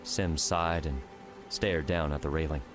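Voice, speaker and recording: A man's voice: a bass voice, steady tone, measured pace. A man reading scripted fiction narration as an audiobook narrator. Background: music.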